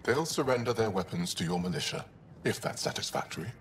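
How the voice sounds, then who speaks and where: An actor playing a grave alien ambassador in a video game, guarded but hopeful, speaking in a measured, formal way. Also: male voice, sits low, animated. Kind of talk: dramatic video game acting. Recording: roomy long reverb, faint background noise.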